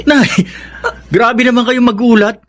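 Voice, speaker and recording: An actor playing an earnest, grateful adult son in a Filipino radio drama. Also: male voice, very high voice, little pitch movement, takes it slowly. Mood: happy, proud.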